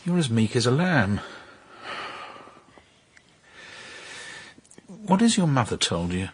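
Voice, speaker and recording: An actor playing a cold, imperious middle-aged count in a radio drama, speaking in deliberate, clipped commands. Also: male voice, mid-range voice, big pitch swings, a little hiss or hum, natural voice. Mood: brooding displeasure.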